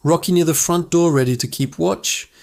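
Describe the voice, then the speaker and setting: A man reading a children's fable aloud in calm, even scripted narration. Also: on the high side, normal speed, creaky.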